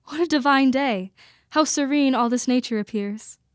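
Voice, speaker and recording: A young woman playing a gentle, devoted young bride in a radio play, speaking sweetly and formally. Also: high voice, normal speed, no echo, clean studio sound, close to an everyday voice. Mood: serene. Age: young adult. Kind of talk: student radio play acting. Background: music underscoring.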